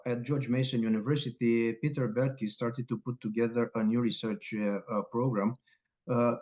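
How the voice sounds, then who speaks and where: A middle-aged man from Romania with a Romanian accent, speaking haltingly with many filler pauses as a guest scholar in an interview. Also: medium pitch, a little mellow, muffled recording. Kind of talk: academic interview.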